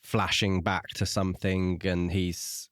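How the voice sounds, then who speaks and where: A man co-hosting a podcast, explaining a book in a measured, conversational way. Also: sits low, flat delivery, clear, resonant tone, no echo, studio quality. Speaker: podcast co-host. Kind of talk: casual book discussion.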